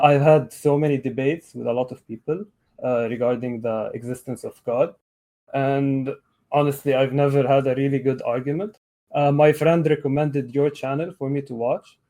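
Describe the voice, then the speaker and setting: Guy around 31 from lebanon, mellow relaxed voice, debate call guest, kinda hesitant with pauses. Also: medium pitch. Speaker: guest caller, atheist debater. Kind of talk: conversational debate on a live call.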